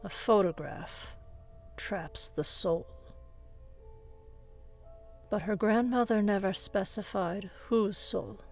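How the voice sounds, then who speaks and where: A woman narrating a scripted horror story in a suspenseful manner, often hushed, with dramatic pauses. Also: mid-range voice. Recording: music bed behind the voice.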